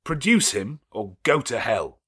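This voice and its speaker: A man voicing a proud, fierce middle-aged gentleman who makes curt, forceful demands. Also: medium pitch, lively intonation, normal speed, voice pushed up. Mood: defiant.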